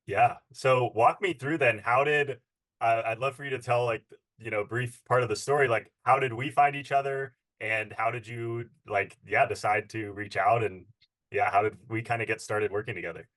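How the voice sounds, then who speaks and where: A man's voice: medium pitch, fairly even intonation, normal speed, fairly bright tone. A man hosting a casual interview as a fitness coach, upbeat and encouraging as he asks his questions.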